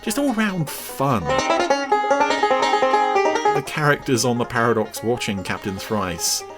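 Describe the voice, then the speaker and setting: A man with a mid-pitched, very expressive voice gives a running review. He rambles with enthusiasm, and music plays clearly behind him. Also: slow. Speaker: podcast reviewer. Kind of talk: commentary over cartoon clips.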